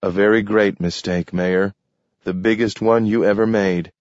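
A man voicing a solemn young man in a storybook, speaking with cool, measured formality. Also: fairly low pitch, steady tone, darkish tone, no echo, lo-fi sound, lightly acted.

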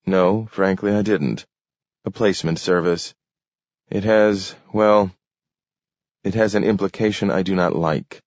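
A man's voice: deep voice, a little slow, long pauses, a little mellow, dry recording. A man voicing a proud, anxious job seeker who talks in a hesitant, formal way. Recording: dull lo-fi recording.

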